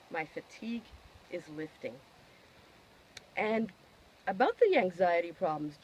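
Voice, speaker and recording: A woman giving a personal testimonial in an expressive, emphatic way, posing questions and answering them herself. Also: medium pitch, a little hiss or hum, poor audio.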